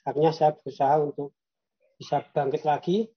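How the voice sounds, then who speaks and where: A man from Yogyakarta telling his own business story in a halting way, with many fillers and restarts. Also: on the high side, fairly even intonation, breathy edge, muffled recording. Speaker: guest entrepreneur. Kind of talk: personal storytelling.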